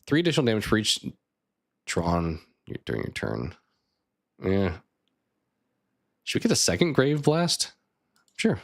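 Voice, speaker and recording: Lowish-voiced man chatting while gaming. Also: huge pitch swings, a little slow, long pauses. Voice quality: slightly raspy. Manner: thinking aloud, chatty, answers chat. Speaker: streamer. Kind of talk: casual live gameplay chat.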